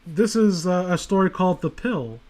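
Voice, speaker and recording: A man's voice: high voice, normal speed. A man hosting a casual chat before a story reading, ranting and joking with plenty of swearing. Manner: profane, joking, animated banter. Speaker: host of a story reading stream.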